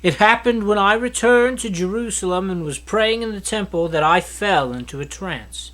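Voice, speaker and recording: High-pitched man reading scripture aloud. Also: normal speed, pushed and bright. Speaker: Bible reader.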